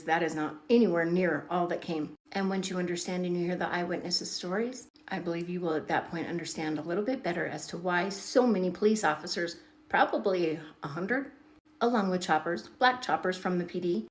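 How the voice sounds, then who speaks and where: A woman's voice: on the low side, a little fast, poor audio. A woman giving dramatic storytelling commentary, emphatic and repeating words for effect. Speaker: online video commentator.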